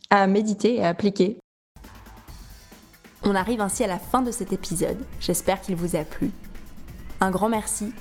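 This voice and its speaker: A woman's voice: mid-range voice, a little mellow. A woman hosting a podcast, asking friendly questions and closing the episode. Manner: friendly, measured questions and wrap-ups. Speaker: podcast host. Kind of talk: podcast interview.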